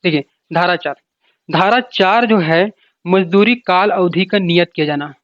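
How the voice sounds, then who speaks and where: A man's voice: sits high, a little slow, fairly bright tone, no room sound. A man giving an informal explanatory lecture as an exam coach, repeating points and asking then answering his own questions. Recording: dull lo-fi sound.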